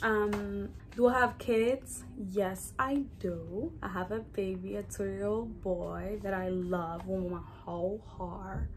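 A woman's voice: medium pitch, fairly even intonation, slow pace, few pauses, heavy background noise. A woman in her early twenties with a Dominican accent, a native Spanish speaker, chatting informally to camera as a vlogger. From Dominican Republic. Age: early 20s. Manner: chatty, informal, conversational asides. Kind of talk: casual get-to-know-me vlog talk to camera.

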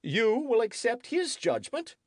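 A man's voice: very high voice, wildly swooping pitch, measured pace, dry recording, pitched above the performer's own voice, full character voice. Guy putting on a whiny suspicious king voice, jumps all over, dry. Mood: pressing. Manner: querulous, demanding, theatrical. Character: petulant suspicious young French king. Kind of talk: audiobook character voice.

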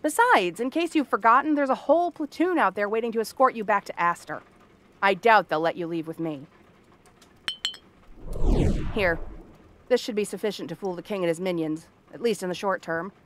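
A woman's voice: medium pitch, moderate pace, long pauses, fairly bright tone, slight background noise, close to an everyday voice. A woman playing a cranky, tomboyish young frog-girl princess in a fantasy roleplay, sarcastic and haughty. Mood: brisk, dry. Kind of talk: scripted fantasy ASMR roleplay.

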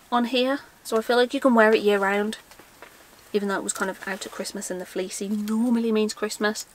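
A woman's voice: on the high side, poor audio. A young woman chatting casually through a haul vlog, often asking herself questions and laughing at her own forgetfulness. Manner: chatty, self-mocking, asks herself questions aloud. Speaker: vlogger. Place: indoor room lined with shelves of backpacks.

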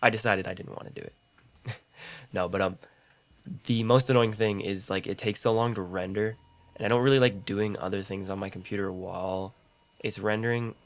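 Brief: young male gaming YouTuber, low deep voice, slightly rough throat, rambling self-deprecating chatter, condenser mic at home. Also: fairly even intonation, moderate pace. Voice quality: deep voice, mouth clicks. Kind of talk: casual gameplay commentary. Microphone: large condenser studio mic.

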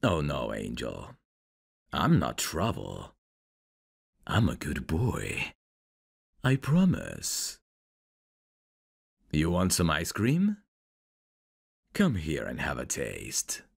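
Guy playing a teasing flirty dude for asmr roleplay, slow drawn out talk, gravelly n husky, intimate. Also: a bass voice, huge pitch swings, heavily acted.

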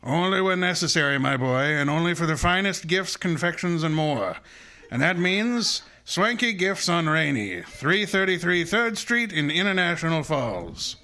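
A man acts out a celebrated radio detective, pompous and dryly witty. His voice sits a little high and has a bright, forward edge. He chooses formal words and delivers them with measured self-importance. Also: sing-song, exaggerated voice. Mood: grand, jovial. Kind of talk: old-time radio detective drama acting.

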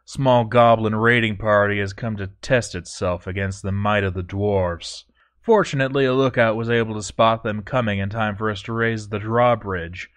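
A man voicing a proud, stern dwarf overseer in formal, measured, scripted storytelling narration. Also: lowish voice, a bit bright, lightly acted. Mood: self-assured. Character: proud, stern male dwarf fort overseer, grandly dutiful. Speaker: narrator reading a fort overseer's journal.

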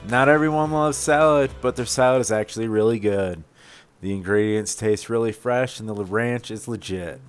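A man's voice: lowish voice, normal speed, soft background music. A man in his forties giving a casual food review as a vlog co-host.

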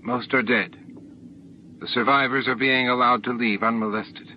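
A man's voice: mid-range voice, fairly even intonation, normal speed, a little hiss or hum, close to an everyday voice. A man voicing a guilt-ridden, protective male alien in an audiobook. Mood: reluctant. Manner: earnest, troubled. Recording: dull lo-fi audiobook tape recording.

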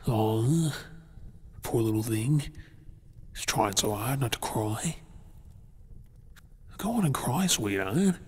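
A man acting as a kind Australian rescuer in an ASMR drama, soothing someone who is hurt. He speaks slowly and softly, with a husky, breathy voice and unhurried pauses. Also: mid-range voice, animated, exaggerated voice. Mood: soothing, tender. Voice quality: soft, breathy. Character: kind, reassuring male rescuer tending an injured woman. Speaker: ASMR role-play performer. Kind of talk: intimate ASMR role-play drama, spoken softly to the listener.

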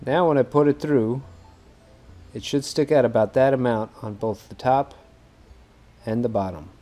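A man in his thirties casually narrating a how-to project on a docked boat, easygoing and quick to laugh at himself. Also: mid-range voice, warm tone, soft background music. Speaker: fishing video host.

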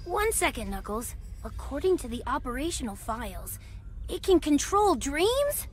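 A woman's voice: high-pitched, very animated, normal speed. A woman voicing an earnest, clever young boy fox inventor in a video game, explaining things. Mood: flustered. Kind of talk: animated video game cutscene acting. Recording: music under the voice.

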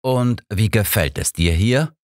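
A man acts out an old, sharp-minded detective in a storybook reading. He is sardonic and pointed, lecturing with animated intonation. The sound is close and dry. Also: lowish voice, unhurried, dry recording, voice pushed up. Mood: probing. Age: older. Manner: pointed, lecturing, dryly persuasive.

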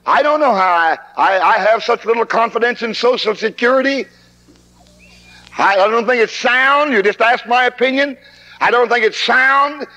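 A man's voice: very high-pitched, moderate pace, bright, forceful tone. A man preaching a 1960s camp meeting sermon, fervent and emphatic, recorded on old tape in a hall.

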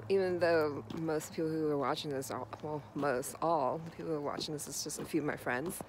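A woman in her thirties chatting casually in a vlog, filmed on a phone held at arm's length outdoors and in a car. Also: low-pitched. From United States. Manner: chatty, self-correcting, recorded at arm's length while walking and riding. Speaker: vlogger. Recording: noisy phone recording outdoors.

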